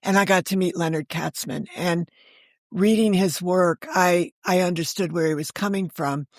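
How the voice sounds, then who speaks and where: Low-pitched older woman, close dry sound. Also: normal speed, no room sound. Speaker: guest, author and editor. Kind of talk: conversational interview storytelling.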